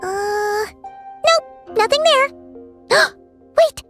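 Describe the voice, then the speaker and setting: Squeaky, excitable little fairy girl. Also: very slow, soft background music, exaggerated voice. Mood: concerned, puzzled. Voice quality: squeaky, childlike. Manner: chirpy, expressive, refers to self by name. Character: small excitable childlike fairy girl companion, talks in third person. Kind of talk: animated video game dialogue.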